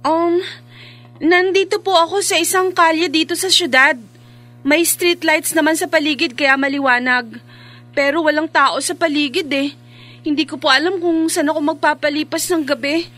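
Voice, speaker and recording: A woman's voice: high voice, moderate pace, a bit bright. An actress playing an affectionate, devout young woman who works overseas, earnest and emotive in a radio drama. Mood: anxious.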